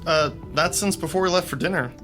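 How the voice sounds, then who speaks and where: A man's voice: fairly high pitch, fairly quick, music in the background, natural voice. A man playing a wry, practical theater crew member in an audio drama, with a dry, teasing manner.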